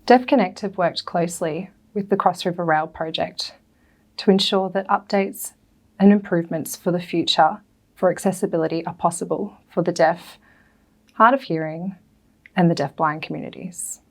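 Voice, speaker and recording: A woman voicing a deaf speaker's talk as an interpreter, with a gravelly voice and careful, phrase-by-phrase delivery. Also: on the low side, normal speed. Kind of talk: spoken interpretation of a prepared talk.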